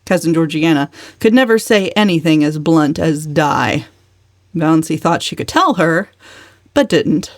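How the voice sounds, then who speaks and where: A woman reading a novel aloud as a dramatic audiobook narrator, measured and expressive. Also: sits low, dry recording, studio quality.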